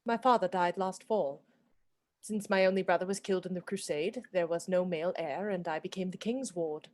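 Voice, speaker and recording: A woman's voice: fairly low pitch, moderate pace, lightly acted. A woman playing a proud, indignant young noble maiden in a radio play, formal and haughty. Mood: solemn. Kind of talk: theatrical radio play acting.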